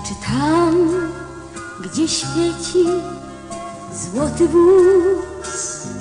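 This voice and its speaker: Very high female ballad singer. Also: very animated, very slow. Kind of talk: slow sung ballad in an audio play. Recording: reverberant, loud music accompaniment. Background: loud instrumental music.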